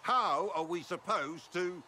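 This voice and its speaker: Indignant, forceful high-voiced mage. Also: male voice, takes it slowly, a little hiss or hum, heavily acted. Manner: aggrieved, forceful. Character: indignant, complaining male mage. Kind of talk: video game character dialogue.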